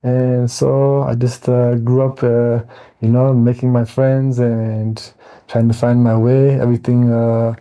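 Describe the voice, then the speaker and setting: Mellow, even-toned American man in his forties. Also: mid-range voice, unhurried, smooth, lo-fi sound. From Wisconsin, United States. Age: 40s. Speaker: interview guest. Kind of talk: casual interview talk.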